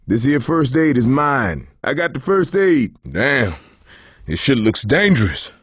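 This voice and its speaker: An actor voicing a big, cocky, good-humored middle-aged survivor coach in a zombie video game, with a folksy Southern American accent and emphatic, salty delivery. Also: male voice, mid-range voice, very animated, measured pace, slightly rough, dry recording, studio quality. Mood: gleeful, cocky. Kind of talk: video game character voice lines.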